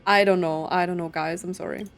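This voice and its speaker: A woman's voice: fairly low pitch, fairly even intonation, fairly quick, slight background noise. A woman giving casual let's-play commentary, thinking aloud in a puzzled, speculative way. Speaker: gaming content creator playing and reacting to a story quest.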